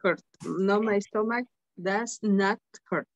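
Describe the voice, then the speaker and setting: A woman whose first language is Spanish, reading aloud haltingly as a student in an English lesson. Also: medium pitch. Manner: slow, halting reading with hesitations.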